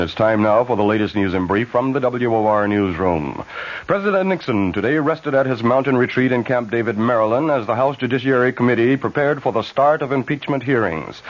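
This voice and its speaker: A man with an American accent reading a news bulletin on 1970s radio. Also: mid-range voice. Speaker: radio newsreader. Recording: muffled vintage AM radio recording.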